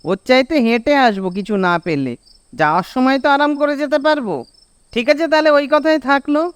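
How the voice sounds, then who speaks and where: Male actor as bossy young Bengali cartoon villager, extremely high clear voice, nagging, exasperated, dry sound. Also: a little fast, dry recording. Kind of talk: animated cartoon dialogue.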